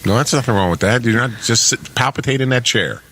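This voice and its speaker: A man's voice: mid-range voice, lively intonation, quick pace, background noise. A man in his late forties co-hosting a morning radio show, bantering casually with mock grumpiness. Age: late 40s. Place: radio studio.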